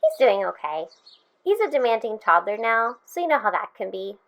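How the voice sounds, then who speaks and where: A woman's voice: medium pitch, big pitch swings, moderate pace, fairly bright tone. A woman playing a friendly, chatty, welcoming mother in her thirties in an audio drama. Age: 30s.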